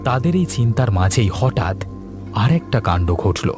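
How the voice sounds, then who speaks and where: A man's voice: fairly low pitch, sing-song, a little slow, over music. A man giving dramatic scripted narration for a cartoon ghost story.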